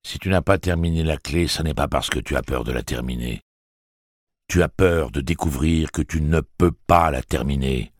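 A man reading the part of a stern, weathered older gunslinger, speaking slowly and coldly. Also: a bass voice, no room sound, studio quality. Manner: slow, measured, cold.

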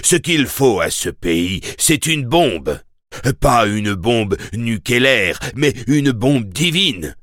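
A man's voice: mid-range voice, big pitch swings, takes it slowly, fairly bright tone, no room sound, studio quality, pitched above the performer's own voice. A man playing a fervent, declamatory street preacher. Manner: declamatory, repetitive exhortation. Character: fervent male American street preacher rousing a crowd.

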